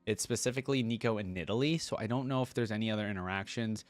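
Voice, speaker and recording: A man's voice: fairly low pitch, fast talker, soft background music. A man hosting a reaction video, reading text aloud and adding casual, conversational commentary.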